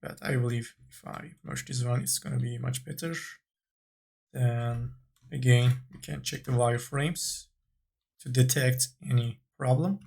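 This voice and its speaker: A man giving an unscripted software tutorial, thinking aloud in short, halting phrases as he instructs. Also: mid-range voice, fairly even intonation, vocal fry, breathy edge.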